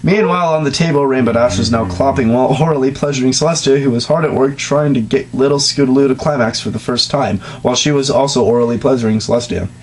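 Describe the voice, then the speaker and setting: A man's voice: mid-range voice, quick pace, few pauses, poor audio. A man reading a story aloud to a friend in a mock-serious tone, stopping often for teasing jokes. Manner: mock-serious narration broken by teasing asides. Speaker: reader of a fan story. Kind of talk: comic dramatic reading among friends.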